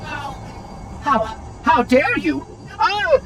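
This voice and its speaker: A man's voice: very high-pitched, expressive, drawn-out words, noisy background, heavily acted. A man playing a fussy little robot caretaker in a video game, pompous and precise, with coldly logical, formal diction. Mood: indignant. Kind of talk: video game cutscene acting.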